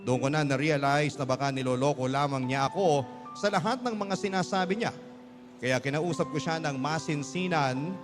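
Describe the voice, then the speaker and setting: A man's voice: fairly high pitch, normal speed. A man giving a dramatic scripted narration as a radio storyteller, earnest and sentimental. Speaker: radio storyteller reading a listener's letter. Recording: clear music bed under voice. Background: music.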